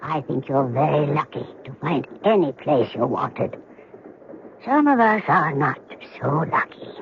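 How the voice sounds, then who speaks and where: A frail but sharp-tongued old woman on a slightly dull, faintly noisy radio drama recording. She speaks low for a woman, in a blunt, wry, probing manner. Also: expressive, a little slow. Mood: wistful.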